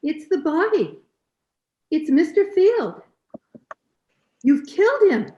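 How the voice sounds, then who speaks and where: Actress as very shrill, terrified maid. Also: female voice, very animated, slow pace, dark tone, dull audio, full character voice. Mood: horrified. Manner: gasping, panicked exclamations. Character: terrified, shrieking hotel maid. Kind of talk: 1940s radio mystery acting.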